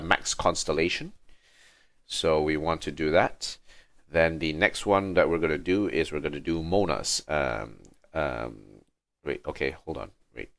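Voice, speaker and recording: A man's voice: rumbling low, fairly bright tone. A man hosting a casual gaming livestream, talking in a hesitant, rambling way. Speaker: livestream host.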